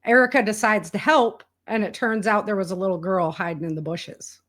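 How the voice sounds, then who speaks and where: A woman's voice: mid-range voice, fairly quick, a bit bright. American woman, candid casual storyteller. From rural United States. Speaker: guest, indie author and entrepreneur.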